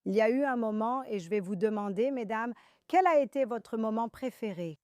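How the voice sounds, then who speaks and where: A woman born in Iran hosting a panel talk show, animated and sarcastic. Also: on the high side, moderate pace, clear, resonant tone, no echo, studio quality. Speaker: show host.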